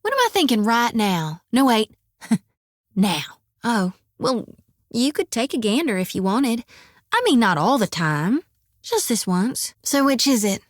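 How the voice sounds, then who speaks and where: A woman's voice: on the high side, sing-song, unhurried, no room sound, clean studio sound. An actress voicing a friendly, folksy young woman priest in a video game, with a drawl and a chatty, earnest manner. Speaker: game companion character.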